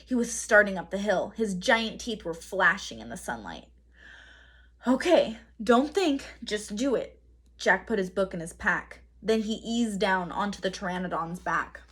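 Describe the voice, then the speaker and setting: Female storybook narrator, teacherly and expressive, husky mid-range voice with dramatic emphasis. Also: medium pitch. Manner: dramatic emphasis, pauses to quiz listeners. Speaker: read-aloud teacher.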